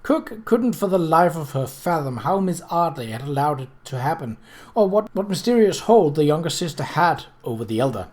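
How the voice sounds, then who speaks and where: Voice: medium pitch, sing-song, normal speed, darkish tone. A person reading a novel aloud as scripted narration, occasionally stumbling over words and rereading them. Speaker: audiobook reader.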